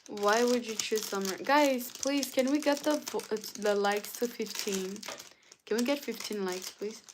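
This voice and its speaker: A woman with as her hosting a casual livestream, answering viewers' comments and sometimes dropping to a soft whisper. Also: fairly high pitch, noisy background. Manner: slow, chatty, often drops to a soft whisper. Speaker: livestream host.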